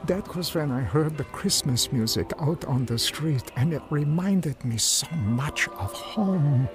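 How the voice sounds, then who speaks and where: A man's voice: a little high, expressive, unhurried, over music. An actor playing a despairing down-and-out man who tells his own story in a radio drama, downcast and hesitant. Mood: bleak, regretful. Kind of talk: first-person radio drama narration and acting.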